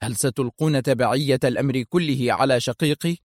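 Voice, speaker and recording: A man's voice: mid-range voice, fast talker, dry recording, studio quality, pitched above the performer's own voice, lightly acted. A man acting a fiery, defiant intelligence officer. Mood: edgy, angry. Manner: indignant, firm, clipped questions and demands.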